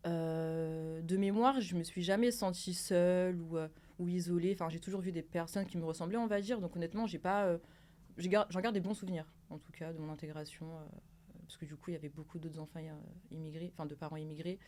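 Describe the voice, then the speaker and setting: A young woman from Paris, speaking calmly and reflectively on a round-table podcast. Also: lowish voice, brisk. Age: young adult. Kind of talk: casual round-table chat.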